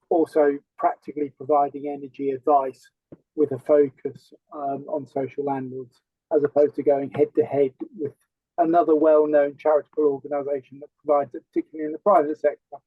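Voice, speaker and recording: A man who works for a charity, introducing himself in a slow, halting way on a webinar video call. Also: a little high, level tone, no room sound. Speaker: charity worker. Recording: thin phone-like audio.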